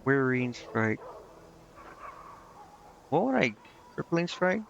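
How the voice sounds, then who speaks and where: A man's voice: medium pitch, big pitch swings, slow. A man giving casual gameplay commentary, thinking aloud and questioning himself as he goes. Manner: musing, questioning himself as he reads menus. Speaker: video game streamer. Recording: muffled lo-fi mic with clear background noise.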